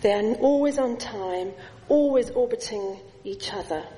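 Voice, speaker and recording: A middle-aged woman delivers a sermon at a slow, deliberate pace. Her fairly high voice builds slow, emphatic lists and rings out through a large church with a long echo. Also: dark tone. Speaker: preacher. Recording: echoey church hall.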